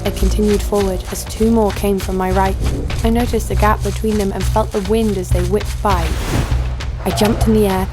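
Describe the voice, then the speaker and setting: A woman's voice: medium pitch, close to an everyday voice. A woman playing a hot-tempered young female fighter in an audio drama, blunt and sarcastic. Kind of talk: first-person audio drama narration and dialogue.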